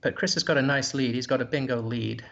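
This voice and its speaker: A man's voice: mid-range voice, fairly even intonation, fast, creaky, a bit bright. A man commentating a live board game, thinking aloud in a hesitant, musing way.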